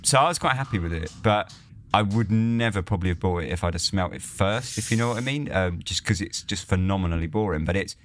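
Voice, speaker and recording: A young man co-hosting a casual podcast, talking in animated bursts, stumbling and repeating words as he starts sentences. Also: deep voice, quick pace, slight background noise. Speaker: podcast co-host. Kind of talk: casual chat.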